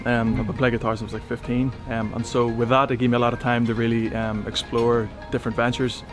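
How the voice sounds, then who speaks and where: A young man in his twenties tells his story in a relaxed, chatty way. His voice sits at a middle pitch. Music plays clearly behind him. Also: quick pace. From Ireland. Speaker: agency owner. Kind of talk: casual storytelling.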